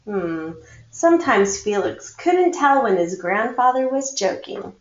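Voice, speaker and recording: A woman's voice: a little high, very animated. A woman reading a children's picture book aloud to schoolchildren, acting out the dialogue as she goes. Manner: acts out dialogue, pauses to comment to listeners. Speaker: read-aloud storyteller for schoolchildren. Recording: muffled, echoey room recording.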